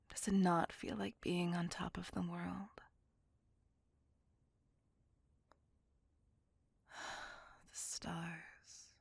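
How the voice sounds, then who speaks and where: A woman playing an ancient, possessive and tender female vampire in an intimate ASMR roleplay, breathy and whispery, with languid, formal, old-fashioned speech. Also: fairly low pitch, dry recording, heavily acted. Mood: tender, romantic. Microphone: close microphone.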